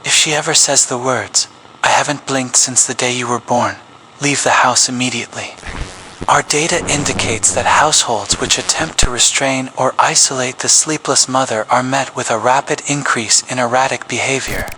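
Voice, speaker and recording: A man reading a scripted public safety announcement as an emergency broadcast announcer, in a grave, measured official tone. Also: medium pitch, fairly even intonation, bright, forceful tone, slight background noise, poor audio. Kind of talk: scripted horror narration.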